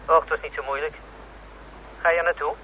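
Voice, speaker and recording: Helpful detective sidekick in old radio play, highish voice, casual, bit of noise. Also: male voice, flat delivery, brisk, pushed and bright, rough recording. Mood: brisk, businesslike. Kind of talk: Dutch radio drama acting.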